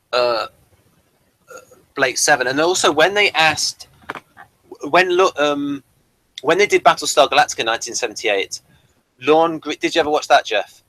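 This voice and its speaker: Bright-voiced man in rambling discussion. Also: mid-range voice, no room sound, poor audio. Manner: hesitant, digressive, explanatory. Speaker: host of a TV review show.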